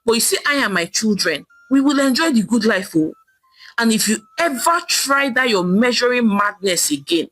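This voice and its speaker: A woman's voice: fairly high pitch, a bit bright, no echo, poor audio. A woman voicing a defiant middle-aged Nigerian wife in a folktale, scolding and taunting with emphatic delivery and a Nigerian accent.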